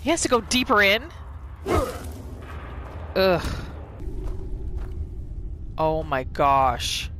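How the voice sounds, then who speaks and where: A woman narrating a roleplay game as its host, casual and expressive, reacting aloud as she plays. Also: fairly low pitch, extremely slow, pauses often, background noise. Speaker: gaming video host. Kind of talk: casual roleplay gameplay commentary. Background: game ambience.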